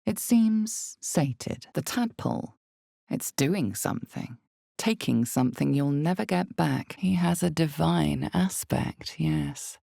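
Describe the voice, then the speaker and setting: A woman performing as an ominous, knowing storyteller in a dark fantasy game, narrating with deliberate, dramatic delivery and weighty pauses. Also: expressive, no echo, clean studio sound, full character voice. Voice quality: low, creaky.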